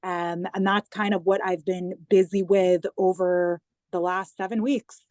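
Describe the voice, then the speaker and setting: A woman's voice: lowish voice, steady tone, unhurried, a little mellow, dry recording. An American woman, probably in her thirties, speaking as an interview guest over a video call. She talks earnestly and fluently, stressing her points and running through lists with ease. The sound is dry and close, with no room around her voice. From New York, United States. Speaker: guest, government digital communications head.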